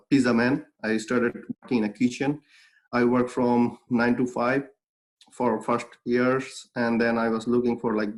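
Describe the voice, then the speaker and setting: A man's voice: medium pitch, poor audio. Earnest Bengali-native man telling his story. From Bangladesh. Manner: many fillers and restarts, earnest and reflective. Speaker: guest, clothing brand founder. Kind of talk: casual interview, telling his life story.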